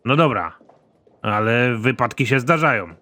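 Casting: male actor as trigger-happy cartoon trooper, gruff and crude, dry deadpan delivery with a hard, bright edge. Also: medium pitch, unhurried. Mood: grudging. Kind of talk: animated comedy dialogue.

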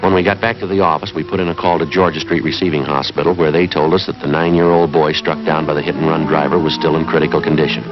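A man's voice: a bass voice, little pitch movement, fast talker, few pauses, over music. A man in his thirties playing a terse, matter-of-fact police detective in clipped 1950s procedural style. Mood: businesslike, deadpan. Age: 30s. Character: terse, businesslike male police detective narrating his case. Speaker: detective and narrator. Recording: dull 1950s film soundtrack.

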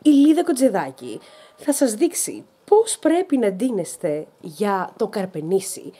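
A woman's voice: medium pitch, sing-song, mellow, slightly rough. A young woman narrating a travel vlog in a mock-serious, ironic tone. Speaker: vlogger.

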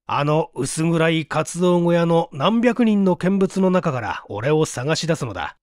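A man's voice: on the high side, expressive, unhurried, no echo, studio quality. A man voicing a smug, eager young man in a storybook reading, confident and teasing.

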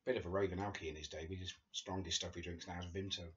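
A man's voice: deep voice, level tone, fast, muffled recording. Older man reading a memoir on his sofa. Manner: chatty, chuckling asides between read passages. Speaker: reader of a friend's memoir. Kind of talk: casual book reading to camera. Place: living room sofa, indoors.

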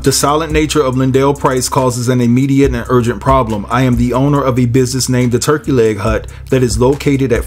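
A man's voice: medium pitch, a little mellow. A man hosting a commentary channel, nasal from a head cold, reading a court filing aloud and then giving his casual opinion to viewers. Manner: casual, addresses viewers as y'all and guys.

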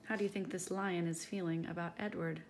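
A woman reading a children's picture book aloud as a storytime teacher, expressive and speaking directly to young listeners. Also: fairly low pitch, a little fast, a little hiss or hum.

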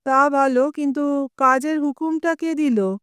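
A woman voicing a busy middle-aged father who questions a workman in brisk, short sentences, in a storybook reading. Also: high voice, steady tone, fairly bright tone, dry recording, clean studio sound, pitched above the performer's own voice. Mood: questioning.

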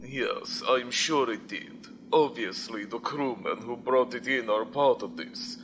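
A man's voice: medium pitch, measured pace, a bit bright, slight background noise, close to an everyday voice. Man acting as coolly ruthless space-empire commander. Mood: cold command. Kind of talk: science fiction audio drama acting.

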